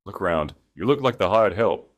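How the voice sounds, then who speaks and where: A man's voice: sits low, lively intonation, fairly quick, voice pushed up. A man voicing a smug, venomous mistress with a condescending, mocking manner.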